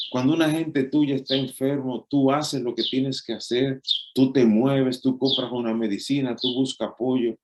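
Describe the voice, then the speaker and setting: Middle-aged man, Dominican accent, pastor, chatty sermon, mid-pitched, roomy echo. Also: a little mellow. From Dominican Republic. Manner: conversational preaching with frequent restarts and filler "eh".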